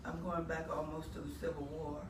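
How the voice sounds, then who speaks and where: An older man telling anecdotes to an audience casually, halting and drily humorous, repeating words. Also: a little high, level tone, a loud crowd. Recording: roomy, distant, noisy live event recording.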